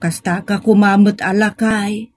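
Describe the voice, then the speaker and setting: A woman's voice: medium pitch, steady tone, a little slow, a little mellow, slightly rough. A woman playing a patient, devout older wife in an audio drama, earnest and coaxing, with an Ilocano accent. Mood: earnest, reassuring. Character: patient, devout older wife counseling her troubled husband. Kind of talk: Filipino audio drama acting.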